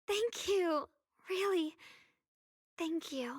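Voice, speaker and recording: A woman voicing a gentle but determined young swordswoman in an anime game, with a soft, youthful, sincere delivery. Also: very high voice, lively intonation, very slow, no room sound, studio quality, exaggerated voice. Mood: tender, sincere.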